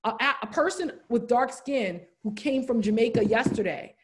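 A woman's voice: fairly high pitch, expressive, normal speed. A woman in her thirties, raised in the United States, leading an online diversity training and answering chat questions in an earnest, encouraging way. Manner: earnest, warm, repeats "wonderful" and "right?". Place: indoors, room with brick wall. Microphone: laptop webcam, video call.

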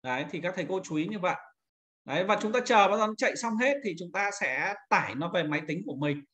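A man instructing in a screen-recorded software tutorial, talking informally and pointing things out step by step as he demonstrates. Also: high-pitched, expressive, fast talker. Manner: informal, repeats pointing words while demonstrating.

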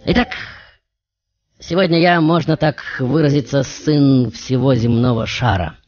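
A man playing a passionate, self-assured tango musician who tells his life story in dramatic, deliberate phrases. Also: mid-range voice, darkish tone, close to an everyday voice. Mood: grand, musing. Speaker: radio show host. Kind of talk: theatrical first-person storytelling as a famous musician. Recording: dull radio recording with music.

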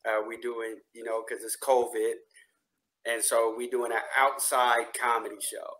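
A man's voice: medium pitch, little pitch movement, moderate pace, pushed and bright. A young adult man, a comedian guest, chatting casually in an interview over a video call. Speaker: stand-up comedian and producer guest. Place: small room, video call.

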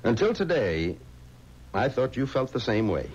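A middle-aged man, an assured, fatherly and somewhat pompous boss in a 1970s radio mystery. His delivery is very animated, heard on a dull old recording with faint noise. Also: mid-range voice, measured pace. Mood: paternal. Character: confident, paternal funeral home boss, brisk and dismissive. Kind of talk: 1970s radio drama acting.

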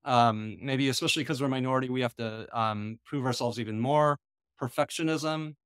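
Measured, thoughtful man lecturing on a video call. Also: medium pitch, fairly bright tone, clear, resonant tone, dry recording, studio quality. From United States. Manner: measured, thoughtful, with frequent ums and restarts. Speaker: conference lecturer. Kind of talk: online lecture leading a discussion. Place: small indoor room. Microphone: video call webcam.

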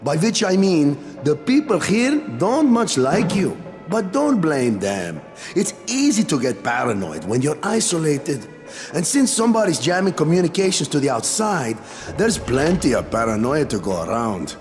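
A wry gruff quartermaster. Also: male voice, a little high, moderate pace. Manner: wry, blunt, lively delivery. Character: gruff middle-aged male quartermaster, sardonic. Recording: game audio with music behind.

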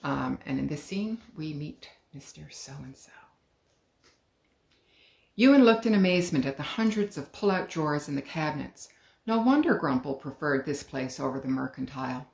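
A middle-aged woman, an author, reading her children's book aloud at home in a calm, clear storytelling manner. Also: low voice, normal speed. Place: living room at home. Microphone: webcam, head and shoulders. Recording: roomy living room.

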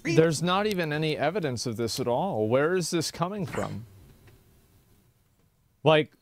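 A man's voice: fairly high pitch, lively intonation, unhurried. A man streaming live, reacting in an incredulous, questioning way.

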